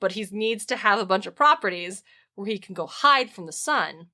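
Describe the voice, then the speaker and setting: A woman podcast host telling stories in a casual, comic chat, animated and emphatic, with plenty of swearing. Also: medium pitch, moderate pace.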